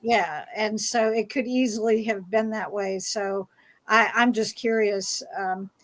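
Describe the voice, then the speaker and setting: A woman moderating a casual panel discussion, asking questions in a halting, conversational way. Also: medium pitch, steady tone, poor audio.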